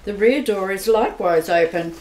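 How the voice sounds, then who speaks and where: A woman's voice: mid-range voice, normal speed, a little mellow. A woman narrating an amateur radio play in a plain, matter-of-fact way. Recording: roomy amateur recording with faint hiss.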